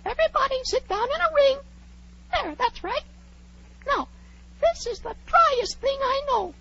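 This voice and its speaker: Tiny very high-pitched pompous mouse. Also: female voice, lively intonation, measured pace, creaky, a bit bright, slight background noise, exaggerated voice. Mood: bossy, pompous. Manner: pompous, schoolmasterly. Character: prim, huffy little talking mouse, lecturing and easily offended. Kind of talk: 1940s radio drama acting. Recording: dull old 1940s radio recording.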